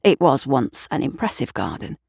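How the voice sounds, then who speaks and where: A woman narrating a scripted audio drama in a measured, deliberate storytelling manner. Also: rumbling low, very animated, creaky, darkish tone, slightly rough, no echo, clean studio sound. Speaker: writer and narrator.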